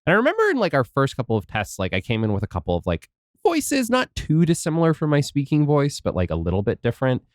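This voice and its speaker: A voice actor chatting casually on a podcast Q&A, a little congested from a cold, rambling with restarts. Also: male voice, mid-range voice, huge pitch swings, fast, no room sound, clean studio sound.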